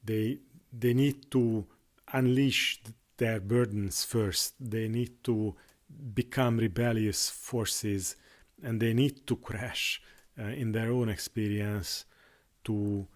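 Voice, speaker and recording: Halting low-voiced man, Hungarian native. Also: steady tone. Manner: halting, many restarts and fillers. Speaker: YouTuber. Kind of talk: unscripted monologue on faith.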